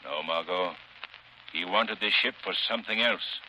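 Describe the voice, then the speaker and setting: An actor playing a steady, shrewd gentleman crime-fighter in a 1930s radio drama, measured and resolute. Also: low voice, exaggerated voice. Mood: grim resolve. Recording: noisy, hissy 1930s radio recording.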